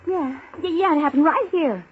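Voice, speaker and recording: High-voiced 1940s radio dame. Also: female voice, expressive, moderate pace, very noisy. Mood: insistent, flustered. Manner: slangy, emotional. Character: tough, hurt young dame, small-time crook's accomplice. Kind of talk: 1940s radio crime drama acting. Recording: muffled vintage 1940s radio recording.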